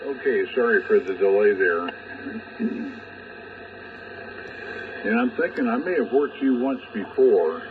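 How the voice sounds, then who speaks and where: A man's voice: lowish voice, sing-song, takes it slowly, pauses often. An older man chatting casually as a ham radio operator, rambling and trailing off mid-thought, heard through a radio. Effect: two-way radio transmission. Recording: narrow tinny shortwave radio, clearly noisy, distant.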